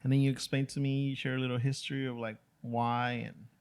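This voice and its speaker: Gravelly, laid-back man hosting. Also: medium pitch, flat delivery. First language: Spanish. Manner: laid-back, drawn-out "dude" and "you know" fillers. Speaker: podcast host. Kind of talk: casual chat.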